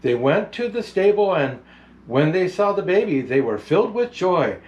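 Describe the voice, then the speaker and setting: Kindly old Santa storyteller, played by a man: unhurried, grandfatherly, very animated pitch, children's picture book read-aloud. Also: dull audio. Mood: gentle storytelling.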